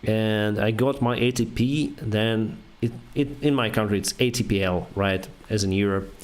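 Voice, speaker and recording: Low-voiced man with a Russian accent. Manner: halting, many fillers and restarts, reads viewer questions then answers. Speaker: airline pilot streamer answering chat. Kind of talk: casual live stream Q&A, reading chat aloud.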